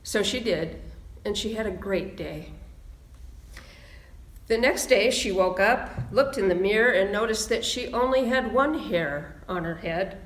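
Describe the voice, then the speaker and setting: A woman minister reading aloud to lead a church service, with deliberate, measured phrasing. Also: mid-range voice, expressive. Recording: roomy, echoing sound.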